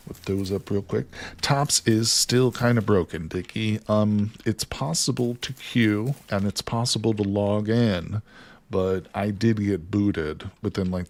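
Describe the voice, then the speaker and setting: A man live streaming a survival game, chatting casually and joking with his viewers as he plays. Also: on the low side. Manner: chatty, jokes with chat, greets viewers by name.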